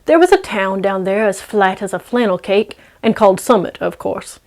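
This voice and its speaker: A woman's voice: mid-range voice, lively intonation, normal speed, a little mellow. A woman storyteller narrating in a dramatic, scripted read-aloud style.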